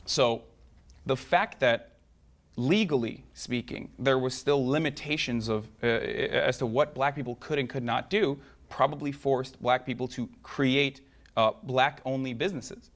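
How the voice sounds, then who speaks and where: A man's voice: medium pitch, measured pace. Hesitant man with a Turkish accent. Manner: hesitant, many fillers and restarts. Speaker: debate guest. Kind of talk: debate.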